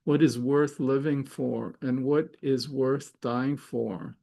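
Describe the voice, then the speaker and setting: A middle-aged man from Israel, a Hebrew speaker, hosting a spiritual gathering in a thoughtful, halting way with many fillers and restarts. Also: medium pitch, fairly even intonation, creaky, mellow. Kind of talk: reflective spiritual talk.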